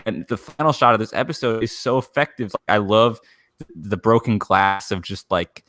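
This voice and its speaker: Low-voiced man, emphatic fan talk. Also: animated, moderate pace, a bit bright. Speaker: podcast panelist. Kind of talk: casual fan discussion.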